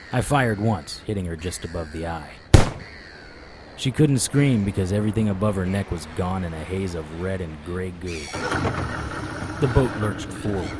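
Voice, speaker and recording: An actor playing a weary, wry private investigator in a noir audio drama, terse and deadpan. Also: male voice, sits low, noisy background, close to an everyday voice.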